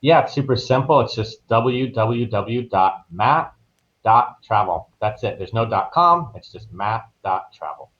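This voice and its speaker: The audio is dull and lo-fi, like a call. A man with a slightly low voice talks casually as an interview guest, informal and pausing to find his words. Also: expressive, a bit bright. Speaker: podcast guest, travel professional.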